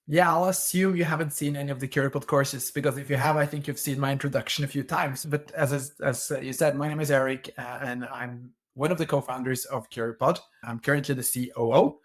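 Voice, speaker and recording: A man with a Norwegian accent speaks English as a second language. He tells his story eagerly as an interview guest, with a few stammered restarts. His pitch sits in the middle range. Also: steady tone, brisk, hardly any pauses. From Norway. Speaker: co-founder guest. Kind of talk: conversational interview answers.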